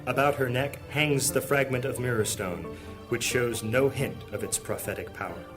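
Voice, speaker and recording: A man narrating a high fantasy audio drama as a solemn, scripted storyteller. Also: normal speed, music in the background, natural voice.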